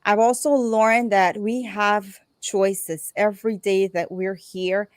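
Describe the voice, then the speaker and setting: A woman's voice: mid-range voice, fairly even intonation, slow, clear, resonant tone, no echo. Effusive middle-aged woman hosting a talk show.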